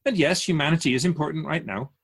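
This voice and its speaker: Man playing a measured, wise soul keeper, slightly husky. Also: on the high side, a bit bright. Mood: measured, explaining. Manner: measured, formal, didactic, rhetorical questions. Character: calm, wise otherworldly Keeper of souls, addressing humans. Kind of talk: radio drama dialogue, philosophical counsel.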